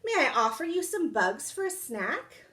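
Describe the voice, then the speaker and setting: A woman's voice: high-pitched, sing-song, normal speed, pushed and bright, voice pushed up, heavily acted. A woman voicing a cheerful, affectionate little girl in a children's storybook, sweet and playful with her pets.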